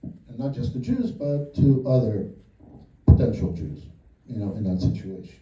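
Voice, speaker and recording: A film director answering audience questions after a screening, thinking aloud with lots of fillers and restarts. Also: male voice, mid-range voice, expressive, moderate pace, warm tone, muffled recording. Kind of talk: post-screening Q&A. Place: cinema auditorium. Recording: echoey theater from far off.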